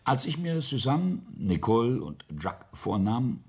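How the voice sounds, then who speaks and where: An actor playing a mocking, menacing middle-aged industrialist in a radio play, speaking with sardonic deliberation. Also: male voice, medium pitch, sing-song, a little mellow, breathy edge, heavily acted. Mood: pressing. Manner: sardonic, deliberate, with whispered drops. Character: menacing, mocking family patriarch and ambitious industrialist. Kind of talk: German radio play acting.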